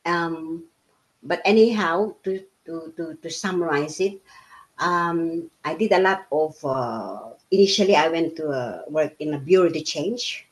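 A woman's voice: low-pitched, animated, a little slow, rough recording. Chatty Filipina woman telling her story. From Philippines. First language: Tagalog. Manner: chatty with frequent fillers and restarts. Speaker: interviewee. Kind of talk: casual life-story interview.

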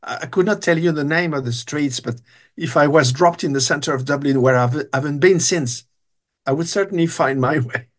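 A man's voice: fairly high pitch, a little fast. An older man, a guest telling long stories in an interview, hesitant with many restarts. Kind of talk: storytelling interview.

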